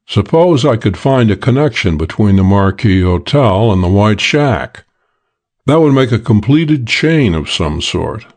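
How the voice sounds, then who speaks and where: Casting: male audiobook narrator, lowish register, measured and matter-of-fact delivery for hardboiled detective prose. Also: sing-song, darkish tone.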